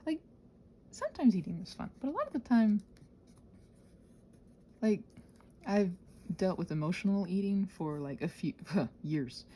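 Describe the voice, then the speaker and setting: Animated, mellow-voiced woman rambling casually. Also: mid-range voice, a little slow, pauses often. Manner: playful, self-deprecating, tangent-prone; expressive, acting out her own thoughts. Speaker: ASMR and audio content creator.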